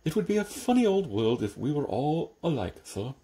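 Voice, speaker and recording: A man voicing a calm, deferential, stitched-together manservant who answers dryly and patiently. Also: on the high side, normal speed, voice pushed up. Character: unflappable, deferential stitched-together male servant. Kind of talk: audiobook character reading.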